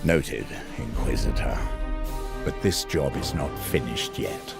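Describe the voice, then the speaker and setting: An actor playing a stern, duty-bound warrior-priest commander in a video game, grave and solemn in manner, with music behind. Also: male voice, extremely low pitch, slow pace, gravelly, exaggerated voice. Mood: grave disapproval. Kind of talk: dramatic video game acting.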